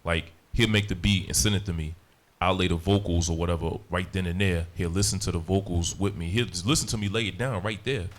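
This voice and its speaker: A man with a deep voice, a rapper talking casually in an interview, full of slang and repeated "you know what I'm saying". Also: a little hiss or hum. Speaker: rapper, guest.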